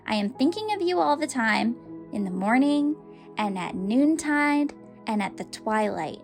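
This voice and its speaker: A woman's voice: a little high, very animated, a little slow, creaky, faint music behind, full character voice. A woman playing an adoring schoolgirl who reads a flowery love letter in a gushing, dramatic audiobook style. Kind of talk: audiobook character reading.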